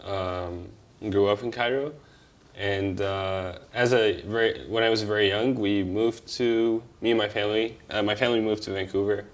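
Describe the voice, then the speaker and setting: A young man from Egypt telling his life story in a casual, halting way, with many fillers and restarts and offhand, candid swearing. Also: lowish voice, fairly even intonation.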